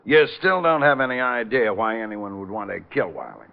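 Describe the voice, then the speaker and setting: An actor playing a weary, long-suffering police lieutenant who grumbles in exasperation, in 1940s radio drama style. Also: male voice, fairly low pitch, sing-song, a little fast, vocal fry, smooth. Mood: official, polite. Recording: muffled, noisy old radio recording.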